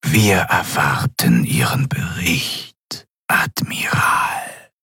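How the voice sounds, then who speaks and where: A man's voice: low-pitched, expressive, drawn-out words, gravelly, pushed and bright, breathy edge, no room sound, studio quality, soft background music, voice pushed down, heavily acted. A man voicing a cold, distant governor who speaks in a faint whisper.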